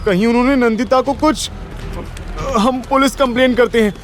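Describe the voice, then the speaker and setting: A man's voice: very high voice, normal speed, soft background music, voice pushed up. A man voicing an anxious young college student who rambles and stammers in panic, in an audio drama. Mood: panicked, worried. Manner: agitated, stammering, non-stop talking. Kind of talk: Hindi audio drama acting.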